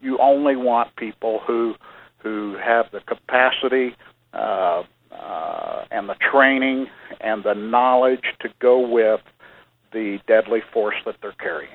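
Man, radio guest, measured, lots of uh fillers, tinny phone sound. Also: medium pitch, a little hiss or hum. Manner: measured, uses many fillers. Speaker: church security guest. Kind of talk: radio interview. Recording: phone line.

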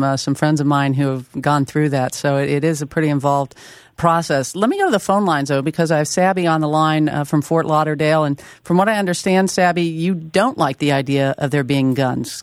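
A woman hosting a talk radio show, with clear, steady presenter delivery in a studio. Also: low-pitched, no room sound. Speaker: radio host. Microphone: studio microphone.

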